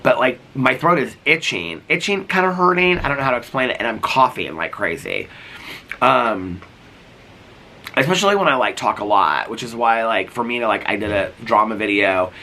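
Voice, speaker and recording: Sick man rambling outdoors, bright voice. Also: mid-range voice, animated, normal speed. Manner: rambling, full of "like" and restarts. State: sick with a cough, voice going. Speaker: vlogger. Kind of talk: casual vlog chat. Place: sitting outdoors.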